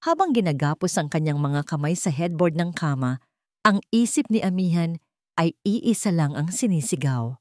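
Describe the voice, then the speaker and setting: A woman with a Filipino accent reads a scripted dramatic story in a measured storytelling manner. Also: low-pitched, expressive, darkish tone, no echo, studio quality. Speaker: story narrator. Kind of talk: scripted dramatic narration of a Tagalog love story.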